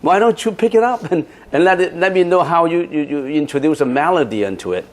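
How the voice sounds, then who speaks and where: A man's voice: fairly high pitch, animated, brisk. A middle-aged man who speaks Chinese as his first language, a Buddhist monk answering audience questions in a casual, chatty way, often repeating words and laughing. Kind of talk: Dharma question-and-answer talk.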